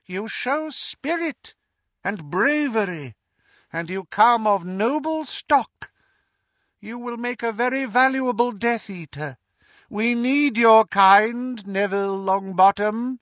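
A man voicing a cruel dark lord with a soft, hissing, silky voice, drawling his words slowly and mockingly. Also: high voice, no echo, highs cut off, pitched above the performer's own voice. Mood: silky menace. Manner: slow, drawn-out, mocking.

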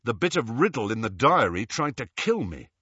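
A man's voice: mid-range voice, very animated, moderate pace, sharp tone, slightly rough, no echo, highs cut off, heavily acted. A man voicing a determined teenage boy hero in a storybook, insistent and commanding. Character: determined, urgent teenage boy hero urging his friend.

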